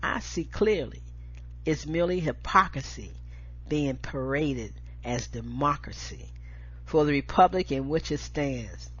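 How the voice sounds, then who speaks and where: An American woman with a husky voice, hosting and reading spoken-word poetry with an emphatic, deliberate delivery. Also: low-pitched, animated. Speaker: show host and poetry reader. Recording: dull lo-fi audio.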